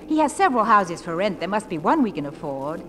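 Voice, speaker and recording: An actress playing a refined young widow, composed and quietly resolute, in 1940s radio drama style. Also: female voice, medium pitch, very animated, brisk, noisy background, lightly acted. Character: genteel young widow, resolute and gently authoritative.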